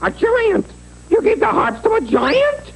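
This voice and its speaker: A man playing an excitable, crafty grown man in a cartoon, brash and irritable, with a creaky voice. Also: extremely high pitch, a little slow, soft background music, heavily acted. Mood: agitated. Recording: noisy old TV broadcast tape.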